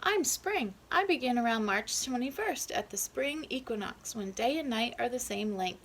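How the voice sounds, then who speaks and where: A woman's voice: on the high side, animated, normal speed. A woman voicing storybook groundhogs who play the seasons, announcing themselves as if reciting in a school skit. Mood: presenting. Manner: declarative, recital-like self-introduction.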